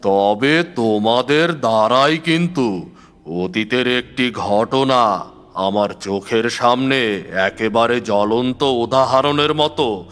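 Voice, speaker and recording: A man playing a weary, philosophical older tantric in a horror audio story, reflective and deliberate as he tells his tale. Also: mid-range voice, fairly bright tone, smooth.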